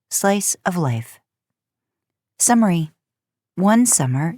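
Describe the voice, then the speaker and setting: Woman narrating a story audiobook style, kinda low voice, really dry sound no room. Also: a little mellow, no echo. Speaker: podcast host and fan fiction narrator.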